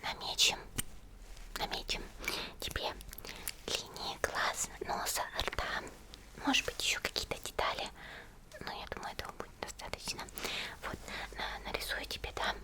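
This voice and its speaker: A woman playing a friendly, attentive monster girl in a whispered ASMR roleplay, speaking gently and unhurriedly in a breathy murmur to the listener. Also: normal speed, natural voice. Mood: focused, attentive.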